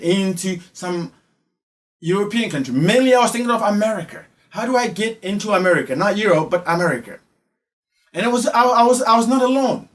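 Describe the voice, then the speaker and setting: High-pitched Ghanaian man, emphatic commentator. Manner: emphatic, repeats phrases for effect. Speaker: online commentator. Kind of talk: spoken social and political commentary.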